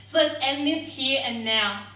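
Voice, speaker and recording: A young woman performs rhythmic, defiant spoken word poetry in a high, sharp-edged voice. She is heard from a distance across a roomy hall. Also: a little slow. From Australia. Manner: rhythmic, defiant, forceful delivery. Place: theatre stage.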